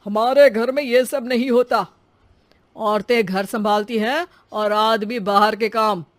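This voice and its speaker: A woman's voice: fairly high pitch, steady tone, a little slow, natural voice. A woman voicing a greedy, haughty father of the groom in a cartoon story, talking in a demanding and threatening way. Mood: haughty, firm.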